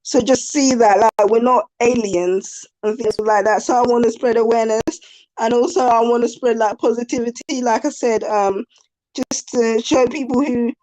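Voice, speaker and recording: A woman guest with a slightly croaky voice, chatting casually over a video call and laughing easily. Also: a little high, steady tone, normal speed, dark tone, low-quality recording. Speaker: interview guest.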